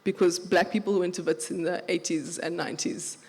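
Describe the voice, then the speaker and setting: A woman with a flat Johannesburg accent, speaking as a panelist in a live festival discussion with animated storytelling. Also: fairly low pitch, brisk. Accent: Johannesburg South African. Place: festival venue with long reverb. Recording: live hall recording with reverb.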